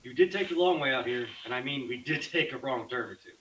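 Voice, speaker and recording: A man's voice: mid-range voice, lively intonation, fast, fairly bright tone, natural voice. A man playing an easygoing, doubtful young boyfriend who questions and teases in a screenplay table read. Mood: teasing, doubtful. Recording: hissy, dull online-call sound.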